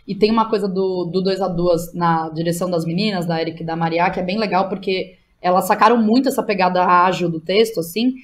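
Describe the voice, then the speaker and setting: A woman's voice: lowish voice, steady tone, fairly quick, hardly any pauses, a little hiss or hum. A Brazilian woman chatting casually as an interview guest, with informal slang and frequent tag questions. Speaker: interview guest, playwright and theatre director. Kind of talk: casual podcast conversation.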